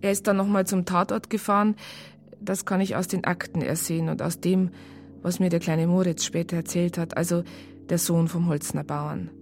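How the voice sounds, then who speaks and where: A woman's voice: fairly low pitch, fairly even intonation, normal speed, a little mellow, soft background music, natural voice. A woman playing a police colleague who recounts a case in a matter-of-fact, colloquial way with a Bavarian accent. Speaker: narrator. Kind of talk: first-person conversational narration in radio crime drama.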